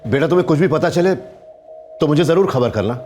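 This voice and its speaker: A man's voice: fairly high pitch, animated, fairly quick, a little mellow, faint music behind, lightly acted. An actor playing a worried middle-aged father in a TV crime serial. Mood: anxious, grave.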